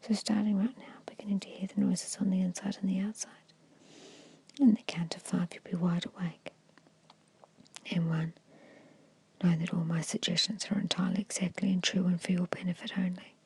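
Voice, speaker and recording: A woman guides an ASMR meditation in a very breathy whisper. Her tone is soft and mellow, with little rise or fall in pitch. She delivers gentle, repeated hypnotic suggestions in a hushed way. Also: little pitch movement, moderate pace. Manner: hushed, repetitive, gently instructing.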